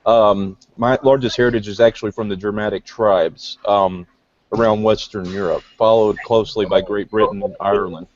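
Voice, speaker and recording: Deep-voiced male guest, calling in from a gym over a dull lo-fi online call, hesitant and thoughtful. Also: steady tone, normal speed. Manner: thoughtful, hesitant, many fillers. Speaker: guest newcomer. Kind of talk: casual online group discussion. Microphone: video call.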